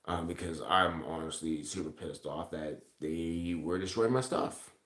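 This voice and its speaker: A man's voice: sits low, a little slow, a bit bright. A man chatting casually on a livestream, rambling and swearing heavily as he replies to comments. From Ontario, Canada. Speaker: live streamer. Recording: echoey room sound.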